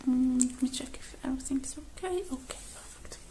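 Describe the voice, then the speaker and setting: A woman doing an ASMR roleplay as a technician, whispering softly and breathily close to the microphone, murmuring gently through each step. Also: sits high, brisk. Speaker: ASMR creator playing a robot technician.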